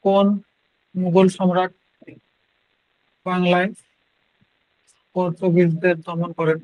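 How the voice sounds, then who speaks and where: High-pitched man teaching, even tone. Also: no room sound, rough recording. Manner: repeats questions and answers in short, halting phrases. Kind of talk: exam question-and-answer lesson.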